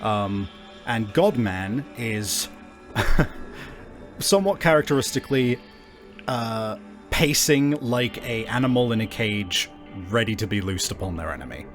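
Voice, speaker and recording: A man narrating a tabletop game as game master, improvising haltingly and searching for his words. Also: lowish voice, expressive, faint music behind.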